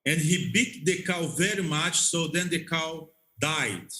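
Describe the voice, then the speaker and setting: A man with a bright, forceful, slightly high voice tells a story in non-native English. He goes slowly and haltingly, repeating phrases and often checking that the listener follows. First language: not English. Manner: halting, repetitive, checks understanding. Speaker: missionary interview guest. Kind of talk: conversational storytelling.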